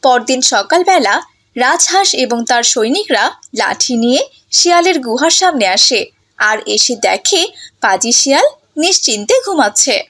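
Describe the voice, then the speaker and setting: A woman's voice: sits high, animated, normal speed, fairly bright tone. A woman narrating a scripted story for children, in the manner of a fairy-tale cartoon.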